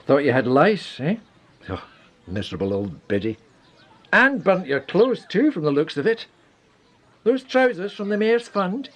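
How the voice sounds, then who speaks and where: A man playing a kindly, brisk wartime village doctor in a radio play, with a Scottish accent and a hearty, avuncular manner. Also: sits high, big pitch swings, fairly bright tone, exaggerated voice. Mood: brisk, gruff.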